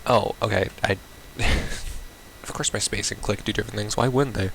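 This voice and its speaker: Low-pitched gravelly man, baffled gamer. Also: fairly even intonation, normal speed, fairly bright tone, a little hiss or hum, low-quality recording. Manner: baffled, swearing reactions. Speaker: gamer playing a visual novel. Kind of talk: casual playthrough commentary, reading game text aloud.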